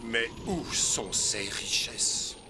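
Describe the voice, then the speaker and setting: A man playing a solemn, devout leader of his people in a French cartoon film, speaking in a measured, declamatory way. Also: medium pitch, expressive, very noisy. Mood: weary, troubled prayer. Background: orchestral music.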